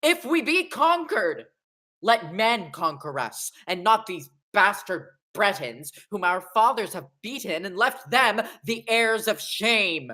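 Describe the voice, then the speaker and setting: A woman's voice: mid-range voice, sing-song, slow pace, sharp tone, full character voice. A young woman playing a murderous, guilt-haunted king in a Shakespearean radio play, with theatrical, declamatory delivery. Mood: defiant, rousing. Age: young adult. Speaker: acting student.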